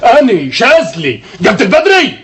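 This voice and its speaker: A man's voice: extremely high pitch, wildly swooping pitch, moderate pace, a bit bright, heavily acted. An actor playing a sly, pushy village man who presses another to sign a paper, talking in a needling, insistent way. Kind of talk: TV drama acting.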